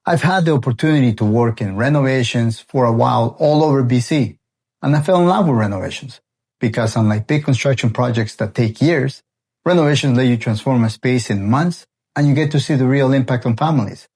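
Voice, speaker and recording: A man's voice: medium pitch, brisk, darkish tone, slightly rough. A man in his forties from El Salvador, a renovation company founder, introducing his channel in an earnest, friendly way.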